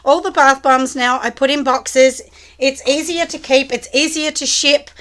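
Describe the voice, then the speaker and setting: A woman who makes soap, chatting with viewers as she packs orders in her small studio, husky and hoarse from a cold. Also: sits high, fairly even intonation, measured pace, fairly bright tone. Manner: chatty, talks straight to the viewers. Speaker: soap maker running a small business. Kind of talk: casual chat while packing orders. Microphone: camera set low on a surface.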